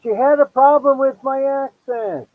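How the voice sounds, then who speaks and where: A middle-aged Filipino American man hosting his own podcast, chatting casually with self-deprecating asides and quick restarts. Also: very high-pitched, dry recording, phone-quality sound. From United States. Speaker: podcast host. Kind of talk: casual solo monologue promoting his show.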